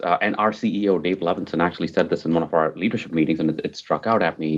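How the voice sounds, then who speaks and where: Very deep-voiced man, upbeat and earnest. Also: muffled recording. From Mumbai, India. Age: 40s. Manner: upbeat, earnest, emphatic repetition. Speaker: podcast guest, technology executive. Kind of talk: conversational interview answers.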